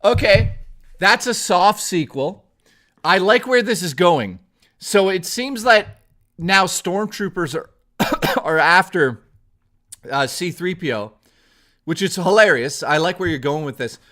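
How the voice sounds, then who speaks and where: A young man streaming live, chatting casually and excitably with viewers and swearing often. He is hoarse and losing his voice. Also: high-pitched, measured pace.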